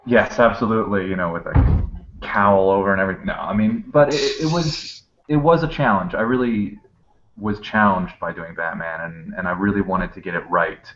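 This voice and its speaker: A man with a very low voice chats casually as a guest voice actor. He is on an internet call that sounds dull, with faint noise behind him. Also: steady tone, moderate pace, fairly bright tone. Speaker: voice actor, guest in cast chat. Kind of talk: casual chat, reminiscing. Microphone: video call. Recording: muffled, noisy internet call.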